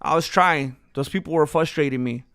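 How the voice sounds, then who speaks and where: High, smooth-voiced male streamer. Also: a little fast, a bit bright, no room sound, studio quality. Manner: jokey, breaks into off-key singing and ad-jingle bits. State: losing his voice. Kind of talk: casual live-stream chat.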